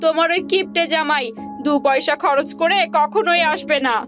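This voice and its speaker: Lady doing a fed-up young cartoon wife, high plaintive voice, always scolding. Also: moderate pace, over music, close to an everyday voice. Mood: complaining. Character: indignant, complaining young wife of a miser. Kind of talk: Bengali cartoon voice acting.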